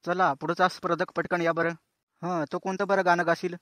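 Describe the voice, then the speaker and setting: A man's voice: high voice, flat delivery, brisk, fairly bright tone, dry recording. A man playing the chatty, teasing host of a cartoon singing contest, talking in a folksy, colloquial way. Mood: breezy, hosting. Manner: colloquial, folksy, addressing viewers as friends. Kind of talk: comic cartoon show presenting.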